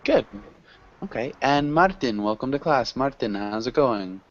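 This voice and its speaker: A man's voice: medium pitch, lively intonation, measured pace. Male teacher, online class, encouraging and patient, slightly muffled call audio. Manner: encouraging, corrects grammar patiently. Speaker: English teacher.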